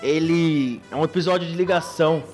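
A man's voice: fairly high pitch, brisk, darkish tone, faint music behind. A man hosting a podcast in casual chat, recapping loosely with many restarts. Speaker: podcast host.

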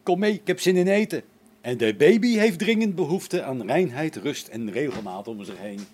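Grumbling sarcastic space technician, acted by a man, animated. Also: fairly high pitch, normal speed, slightly rough. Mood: mocking. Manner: sarcastic, exasperated complaining. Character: grumbling, sarcastic male space-station technician wary of new robots. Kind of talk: Dutch radio play acting.

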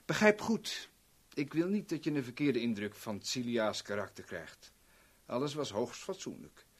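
A man's voice: mid-range voice, animated, moderate pace, close to an everyday voice. An actor playing a sadistic, taunting villain in a 1970s radio drama, theatrical and sneering as he savours his words. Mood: gloating, taunting. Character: sadistic, taunting male villain gloating as a blackmailer.

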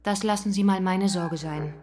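A woman's voice: medium pitch, fairly even intonation, moderate pace, a little mellow, faint music behind, close to an everyday voice. An actress playing a gossipy, brittle woman in a drama, talking in a clipped, sardonic, scornful way. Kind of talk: theatrical dialogue acting.

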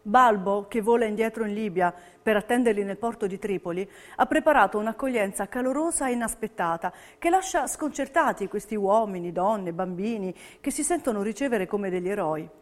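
A woman's voice: medium pitch, a little fast, few pauses. A woman delivering scripted theatrical narration in an emphatic, declamatory way. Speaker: stage narrator.

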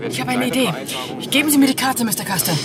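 An actress playing a quick-thinking, decisive female fugitive in a radio play, brisk and alert. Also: high voice, loud music behind the voice, lightly acted. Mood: urgent. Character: quick-thinking, decisive woman fleeing aboard a train. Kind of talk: radio drama acting.

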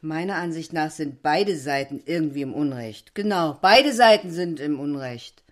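Tiefe Frauenstimme, beschwipste, scharfzüngige Ehefrau. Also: female voice, low voice, expressive, normal speed. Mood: insistent. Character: tipsy, opinionated, brittle married woman, guest of another couple. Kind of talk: theatrical audio drama acting.

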